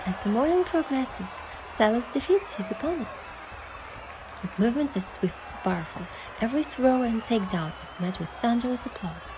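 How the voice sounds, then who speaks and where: A woman voicing an excitable, playful young heroine in love, in a romance game with first-person storytelling. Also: a little high, normal speed, noisy background. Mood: narrating, calm. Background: music.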